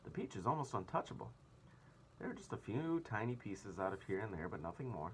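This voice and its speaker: A man voicing a helpful female spider from a children's story in a brisk, assured manner. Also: lowish voice, sing-song, a little hiss or hum. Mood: insistent.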